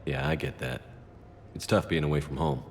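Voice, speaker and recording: An actor playing a steady, supportive military commander in a video game, calm with a dry, wry humour. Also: male voice, very deep voice, moderate pace, creaky, big-room sound, slight background noise. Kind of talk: video game dialogue acting.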